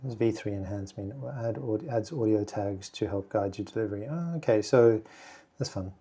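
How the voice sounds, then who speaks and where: Low mellow-voiced man thinking aloud. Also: husky. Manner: thinks aloud, halting with fillers and restarts. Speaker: video creator testing software. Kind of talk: casual screen-recording walkthrough.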